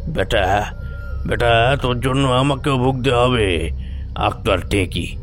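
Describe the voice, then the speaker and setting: An actor playing a bossy, superstitious old village man in an audio horror drama, speaking haltingly, by turns scolding and reverent. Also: mid-range voice, a little hiss or hum, natural voice. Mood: scolding, alarmed. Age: older. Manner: halting, with pauses and hesitations. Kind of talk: audio horror story acting.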